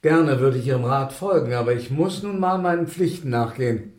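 An actor playing a secretive, persecuted gentleman lodger in a radio drama, formal and courteous but suspicious. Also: mid-range voice, brisk, natural voice. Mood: polite, guarded. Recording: echoey room.

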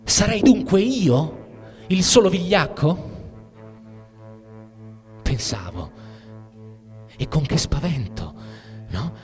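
An actor playing a frightened, cynical soldier who tells of war in a halting dramatic literary monologue, repeating words and breaking off mid-phrase. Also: male voice, on the high side, sing-song. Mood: hushed fear. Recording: music under the voice. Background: music.

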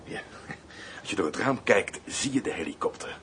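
A man's voice: on the low side, animated, moderate pace, a bit bright, slight background noise. A man playing a mocking, coarse, cold gangster henchman in a radio drama, taunting and threatening.

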